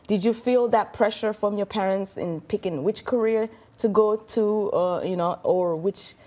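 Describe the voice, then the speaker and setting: A woman's voice: mid-range voice, unhurried, dark tone. A woman hosting a talk show, engaged and prompting her guests with questions. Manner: engaged, prompting with short backchannels. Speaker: host.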